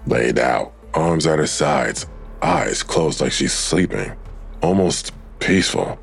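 An actor playing a terse, brooding police detective who questions everything in an audio drama, with a gravelly voice. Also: male voice, rumbling low, lively intonation, slow, faint music behind, exaggerated voice. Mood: brooding, intent.

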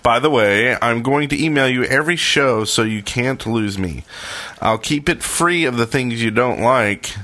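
A man's voice: mid-range voice, measured pace, bright, forceful tone. A man co-hosting a podcast in a casual, conversational style. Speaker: podcast host. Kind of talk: casual group chat.

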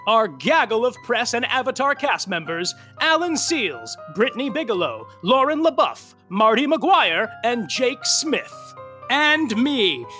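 Flamboyant male narrator, bright and lively. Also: very high voice, a little slow, soft background music. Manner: playful, flamboyant delivery. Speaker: narrator reading end credits. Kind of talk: theatrical credits read-out.

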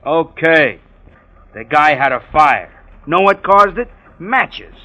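An actor playing an overworked, sarcastic police sergeant in a vintage radio drama, curt and impatient. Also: male voice, a little high, expressive, slow, sharp tone, heavily acted. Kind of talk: 1940s radio acting. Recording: muffled old radio broadcast.

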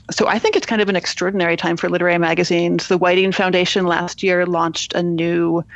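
A woman editor being interviewed on a podcast, answering in a thoughtful, measured way and often restarting her phrases. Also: on the low side, vocal fry, no echo, highs cut off. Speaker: literary magazine editor, guest.

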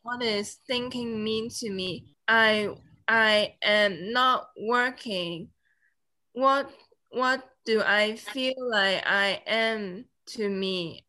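Slow, halting woman, native Cantonese speaker. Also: fairly high pitch, bright, forceful tone, rough recording. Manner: halting, searches for words, broken phrasing. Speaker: interview guest. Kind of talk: casual conversation.

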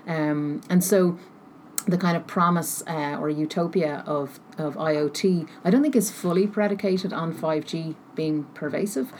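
A woman answering interview questions thoughtfully, pausing often as she searches for her words. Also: deep voice, darkish tone. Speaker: interview guest, technology researcher. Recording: noticeable background noise.

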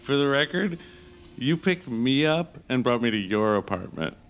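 A man's voice: mid-range voice, lively intonation, moderate pace, slight background noise. An actor playing a casual male bar date who becomes a bewildered victim, in a naturalistic audio drama. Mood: playful. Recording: loud music under the voice.

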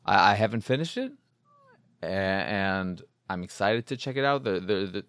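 A man with a fairly low voice and plenty of fry talks through game reviews as a podcast host. He is casual and rambling, doubling up words for emphasis. The recording is close and very dry. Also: expressive, normal speed, fairly bright tone, no room sound. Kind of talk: casual solo review talk.

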